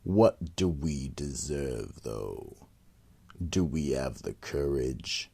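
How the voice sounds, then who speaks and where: Sinister vault keeper for a radio horror play: very deep, raspy, unhurried. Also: male voice, expressive, dark tone, full character voice. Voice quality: deep gravelly rasp. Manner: slow, ominous, drawn-out delivery. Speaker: horror anthology narrator. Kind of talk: 1940s-style radio horror narration.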